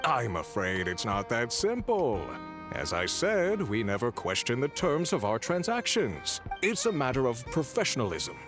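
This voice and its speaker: An actor playing a flustered, officious male clerk in an animated video game. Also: mid-range voice, normal speed. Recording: game music behind.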